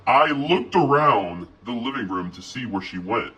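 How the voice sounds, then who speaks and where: Animated male horror storyteller, forceful voice. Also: medium pitch, unhurried. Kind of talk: expressive scripted horror narration. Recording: voice distant from mic.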